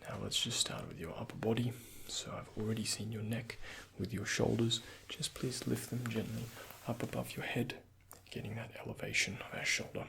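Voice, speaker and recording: A soft-spoken man with a breathy, often half-whispered voice, playing a doctor in an ASMR roleplay and giving gentle instructions and reassurance. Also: lowish voice, flat delivery, moderate pace, few pauses, a little hiss or hum.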